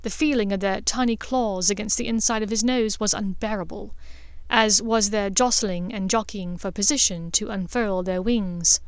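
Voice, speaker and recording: A woman's voice: medium pitch, normal speed, smooth, dry recording. A woman reading a scripted story as an audiobook narrator. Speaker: audiobook narrator of fan fiction.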